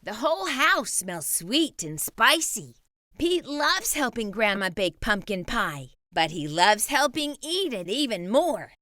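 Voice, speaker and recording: Storytime reader, woman, bright and animated, fairly high. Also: takes it slowly, no echo. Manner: lively, expressive reading. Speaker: children's book reader. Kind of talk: read-aloud storytelling.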